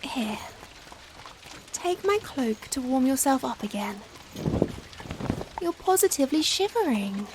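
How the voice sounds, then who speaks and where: A woman playing a young, charming female Victorian vampire in a softly spoken ASMR roleplay, gentle and caring, with formal old-fashioned phrasing. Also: high-pitched. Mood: tender concern. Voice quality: soft, gentle. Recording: steady rain noise behind the voice. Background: rain ambience.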